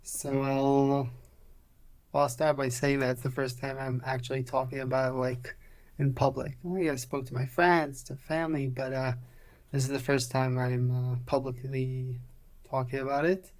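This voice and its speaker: A man in his twenties with an Israeli accent, a native Hebrew speaker, telling his story as an interview guest in a measured, halting way. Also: mid-range voice, level tone. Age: 20s. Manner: measured, halting, many "uh" pauses. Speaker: guest, former soldier.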